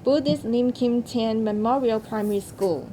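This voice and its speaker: A woman's voice: on the high side, moderate pace, mellow, slight background noise, rough recording. A woman presenting awards from a script as ceremony host.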